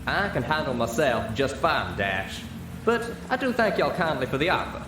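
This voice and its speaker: A down-home country mare with a drawl as a male reader puts her on, pitched up from his usual voice. She sounds sincere and plain-spoken, like a storybook farm girl. The room echoes, with noise behind. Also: big pitch swings, moderate pace, distant mic, close to an everyday voice. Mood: firm. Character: folksy, plain-spoken, earnest female country pony. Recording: roomy with clear background noise.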